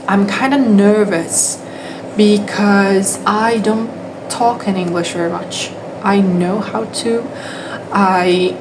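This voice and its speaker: A woman's voice: mid-range voice, background noise. A young Brazilian woman, a native Portuguese speaker, talking in English with a Brazilian accent in a hesitant, casual monologue full of restarts. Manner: hesitant, with frequent restarts and fillers. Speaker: English teacher.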